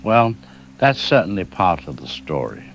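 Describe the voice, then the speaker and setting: An actor playing a calm, middle-aged seismologist who explains things carefully and hedges his words, as a guest in a news interview drama. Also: low voice, normal speed, highs cut off, slight background noise, heavily acted. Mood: measured. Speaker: expert guest.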